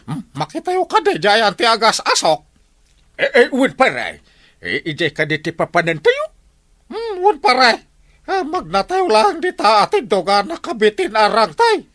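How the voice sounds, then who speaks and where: A man's voice: very high voice, wildly swooping pitch, unhurried, fairly bright tone, no room sound, studio quality, exaggerated voice. A man with an Ilocano accent playing a boisterous, stubborn and proud village captain in a radio comedy drama. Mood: jolly, urging.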